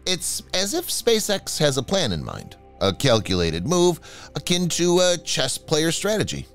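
A man narrates in a scripted voice-over, his pitch leaping and dropping far more than usual. The delivery is dramatic and swooping, set over faint background music. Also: wildly swooping pitch, a little slow, fairly bright tone. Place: voice-over, not recorded on site.

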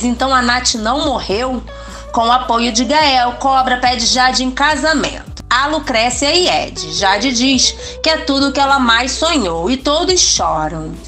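A woman narrating soap opera plot recaps in an even, scripted reading. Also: a little high.

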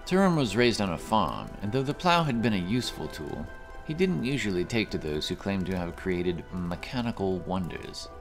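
A man's voice: low voice, very animated, moderate pace, music in the background. A man narrating a scripted fantasy adventure story as the narrator of an audio drama.

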